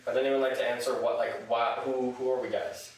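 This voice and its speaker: A young man from Ottawa hosting a casual podcast, playful and quick to laugh. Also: mid-range voice, flat delivery, reverberant, a little hiss or hum, poor audio. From Ottawa, Canada. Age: young adult. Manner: playful, laughs often, slangy. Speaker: podcast host.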